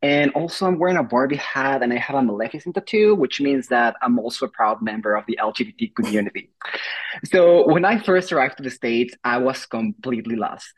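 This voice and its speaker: A young man from Mexico with a Mexican accent, delivering a playful, rehearsed pitch of his show as a guest. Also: brisk, husky. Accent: Mexican Spanish. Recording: dull lo-fi audio.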